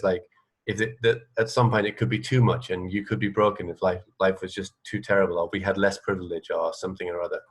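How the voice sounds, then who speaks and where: A man hosting a casual radio interview, speaking in a halting, tentative way as he circles around his point. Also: on the low side.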